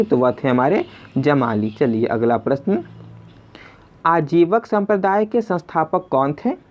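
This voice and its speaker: A man presenting a spoken exam quiz lesson, conversationally repeating each question before giving the answer. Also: a little high. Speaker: quiz teacher and video host. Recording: dull lo-fi voice recording.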